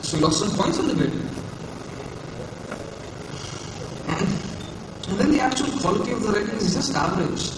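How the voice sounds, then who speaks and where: A man on stage playing an earnest, flustered writing teaching assistant who explains things haltingly. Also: high voice, lively intonation. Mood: earnest, critical. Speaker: stage actor in a college play. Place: stage in an echoey hall, recorded from the audience. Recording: roomy hall from far off, loud hiss.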